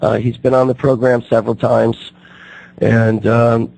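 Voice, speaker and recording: A man hosts a radio talk show while his voice is still on the mend. His tone is gravelly and husky. He talks haltingly, with frequent fillers and restarts, at an even middle pitch. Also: low-quality recording. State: recovering from losing his voice.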